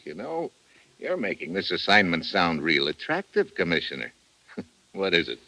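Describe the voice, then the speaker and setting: A man's voice: low-pitched, big pitch swings, moderate pace, pushed and bright, full character voice. Wisecracking American tough guy, swooping delivery. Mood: wry, businesslike. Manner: wry, clipped, casual. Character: wisecracking hard-boiled government troubleshooter, ladies' man. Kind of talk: vintage American radio adventure drama acting. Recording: noisy old radio drama recording.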